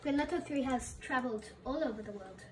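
A woman's voice: high voice, a little fast, distant mic, slight background noise, natural voice. A woman doing a proud Russian-accented alien, heard from afar. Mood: boastful. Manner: refers to self in third person, proud and deadpan. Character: boastful alien visitor speaking of itself in third person. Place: bedroom with a computer.

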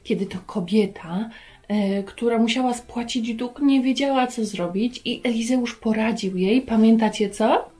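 A woman in her thirties hosting a children's lesson, talking to young viewers in an animated way and asking them questions. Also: fairly high pitch, unhurried, faint music behind. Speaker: children's Bible lesson host. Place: carpeted room at home.

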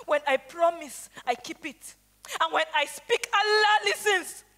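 This is a bitter wife and mother on a theatre stage. She accuses in a declamatory way with a Nigerian accent, her voice piercingly high and forceful. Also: unhurried, full character voice. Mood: accusing, cursing. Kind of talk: stage play acting.

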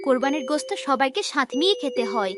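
A woman plays a kind young cartoon girl with a high voice. She is loyal and protective, speaking in a caring, forthright way. Music is clearly present behind her. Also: expressive, fast. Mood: caring, eager. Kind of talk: cartoon voice acting, moral story.

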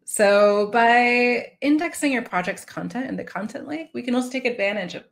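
A woman in her thirties presenting a software demo in a conversational way on a video call. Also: a little high, normal speed, creaky. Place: small indoor room on a video call.

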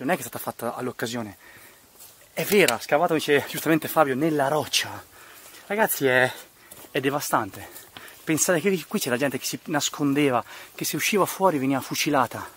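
A man's voice: medium pitch, lively intonation, fairly quick. A man giving casual, exclamatory commentary as he hosts a motorcycle vlog outdoors. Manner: effusive, exclamatory, enthusiastic. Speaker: motovlogger host. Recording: loud wind and engine noise.

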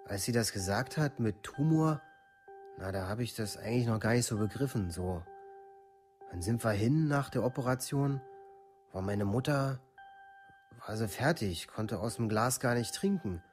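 An actor playing a troubled village youth from a far-right scene in a radio play, speaking colloquially in short clipped phrases. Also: male voice, mid-range voice, expressive, normal speed, darkish tone, faint music behind, natural voice. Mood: subdued, confiding. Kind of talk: documentary radio play monologue.

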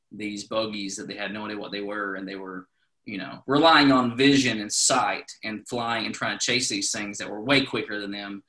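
Lowish voice but bright and punchy, chill slangy podcast dude. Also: male voice, poor audio. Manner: laid-back, slangy, calls people dude. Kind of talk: casual chat.

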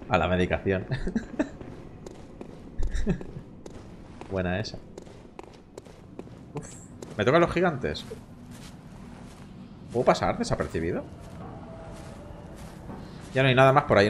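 A man in his thirties from Barcelona streams a game from home, and his Spanish accent comes through as he jokes and swears with his chat. His pitch jumps around a lot, and a soft hiss of room noise sits under his voice. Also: expressive, takes it slowly, long pauses. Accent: Castilian Spanish from Barcelona. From Catalonia, Spain. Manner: chatty, swearing, joking with chat. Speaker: gaming streamer. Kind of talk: casual live gameplay commentary.

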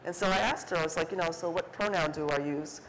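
A woman's voice: on the low side, quick pace, fairly bright tone, slight background noise, rough recording. A middle-aged woman, an artist on a panel, talking casually about her photography with many fillers and restarts, acting out quoted remarks as she goes. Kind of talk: conversational talk on stage. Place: stage at an art fair talk, indoors before an audience.

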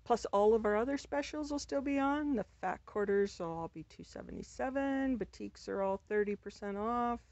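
A woman's voice: on the high side, moderate pace, few pauses, darkish tone. A woman announces store specials and prompts her co-host with questions, in an upbeat, casual way. Her voice sounds dry with no room echo. The audio is a little dull. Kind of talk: casual promo chat.

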